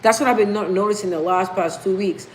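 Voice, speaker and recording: A woman's voice: medium pitch, steady tone, fairly quick, slight background noise. A woman hosting a casual live stream, talking emphatically, repeating key phrases and reading viewer comments aloud. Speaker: livestream host.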